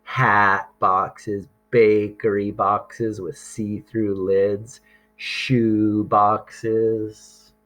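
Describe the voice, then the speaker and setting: A man reading a picture book aloud to young children, deliberate and expressive, drawing out words and pausing for drama. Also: on the low side, soft background music.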